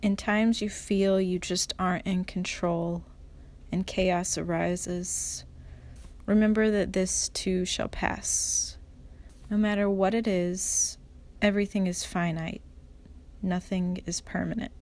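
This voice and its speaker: A woman leading a guided meditation as an instructor, speaking in a calm, measured, soft way with pauses. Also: lowish voice.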